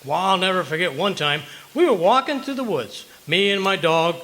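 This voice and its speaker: A man acts a blustery American husband from old-time radio comedy. His voice is high and bright, swooping through folksy wisecracks and tall tales. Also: lively intonation, moderate pace. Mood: boastful.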